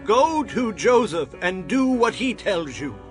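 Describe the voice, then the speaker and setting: A man's voice: high voice, sing-song, slow, faint music behind, full character voice. An actor playing a commanding, regal king of Egypt in declamatory dramatized scripture.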